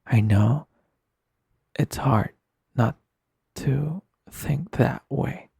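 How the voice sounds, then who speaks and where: A man playing a gentle, caring best friend, speaking in a soft, breathy voice with soothing, earnest, unhurried delivery in an intimate comfort roleplay over music. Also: mid-range voice, no echo, clean studio sound, heavily acted. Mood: tender, reassuring. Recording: music under the voice. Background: soft instrumental music.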